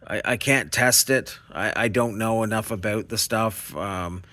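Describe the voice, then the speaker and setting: A man's voice: lowish voice, a little slow, bright, forceful tone. A Canadian man talking in a casual, unscripted vlog style, rambling and hesitant in a self-effacing way. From Canada, small-town Ontario. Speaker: scrap recycling vlogger.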